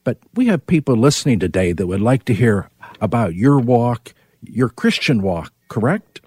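A man's voice: medium pitch, sing-song, normal speed, warm tone, breathy edge, no echo. A man hosting a casual interview, chatty and chuckling as he introduces and questions his guest. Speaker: host.

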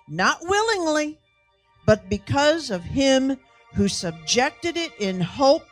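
A woman in her sixties preaching a sermon emphatically and deliberately, in short phrases with pauses. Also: sits high, sing-song, no room sound, soft background music. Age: 60s.

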